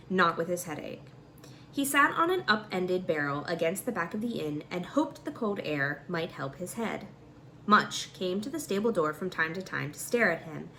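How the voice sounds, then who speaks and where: A woman reading a fantasy novel aloud as a volunteer narrator, expressive and informal, with the odd stumble. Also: medium pitch, a little hiss or hum. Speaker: audiobook-style volunteer reader.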